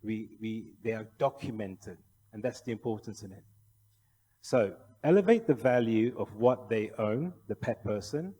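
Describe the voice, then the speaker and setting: Male conference presenter from Malaysia, soft-edged warm tone, persuasive and rhetorical, recorded in an echoing hall. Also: fairly low pitch, lively intonation, normal speed, slightly rough. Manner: persuasive, rhetorical questions to the audience. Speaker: conference speaker, kennel federation official. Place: large indoor conference hall. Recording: echoey hall with long reverb.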